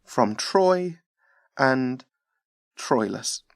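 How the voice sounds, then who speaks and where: A man's voice: medium pitch, lively intonation, extremely slow, vocal fry, dry recording, heavily acted. A passionate, jealous young prince of Troy. His middle-range voice is declamatory and earnest, speaking classical verse for an audio drama. The sound is dry and intimate. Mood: grieving.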